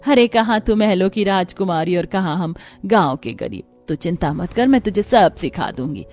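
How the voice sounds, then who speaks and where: A woman's voice: lowish voice, lively intonation, normal speed, darkish tone, soft background music. A woman voicing a kindly, reassuring middle-aged village mother-in-law in a cartoon story, motherly and affectionate.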